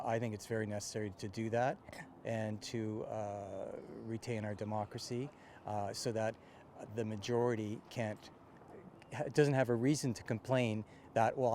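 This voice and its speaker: A man's voice: fairly low pitch, mellow. A man giving an earnest, unscripted opinion in a street interview. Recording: faint outdoor street noise.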